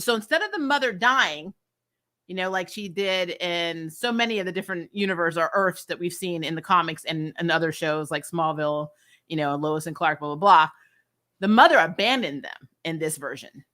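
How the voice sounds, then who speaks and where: A woman casually recapping TV episodes as a vlog host, animated, often acting out lines and dropping in "you know". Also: lowish voice, moderate pace. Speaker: host of a comic TV review vlog.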